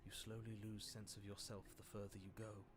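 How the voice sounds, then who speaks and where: A male actor plays a deep-voiced, stern narrator of a dark fantasy game. He is pompous and measured, with formal storyteller phrasing. Music plays clearly behind his voice. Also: monotone, lightly acted. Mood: ominous, solemn. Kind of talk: scripted second-person game narration.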